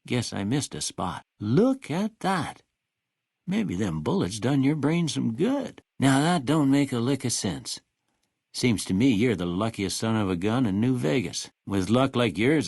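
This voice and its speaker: Husky old Western country doctor. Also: male voice, fairly low pitch, no echo, clean studio sound, full character voice. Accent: American Western or Southern drawl. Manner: folksy, unhurried, drawling. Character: kindly, folksy old male frontier town doctor. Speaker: video game voice actor. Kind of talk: scripted game dialogue.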